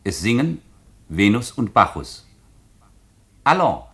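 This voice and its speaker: A man's voice: on the low side, big pitch swings, slow, bright, forceful tone. A man announcing a play in a formal, theatrical showman's manner. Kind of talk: theatrical presentation to an audience.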